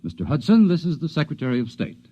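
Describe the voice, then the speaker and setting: Officious protocol chief, husky, jumpy intonation, formal, lo-fi old radio. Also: male voice, on the high side, big pitch swings, fast talker, dark tone, dry recording. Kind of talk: 1950s radio drama acting. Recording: lo-fi 1950s radio broadcast, off-mic.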